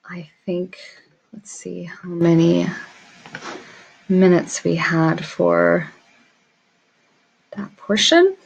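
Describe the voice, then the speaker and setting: Low-pitched woman with heavy vocal fry. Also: fairly even intonation, drawn-out words, pauses often, rough recording. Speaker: small business owner teaching pricing. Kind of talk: informal screen demo tutorial, thinking aloud.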